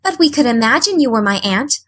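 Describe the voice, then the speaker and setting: A woman's voice: a little high, big pitch swings, brisk, fairly bright tone, slightly rough, exaggerated voice. A woman voicing an eager, imaginative young orphan girl who speaks in a breathless, pleading, wide-eyed way. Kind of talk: audiobook character voice.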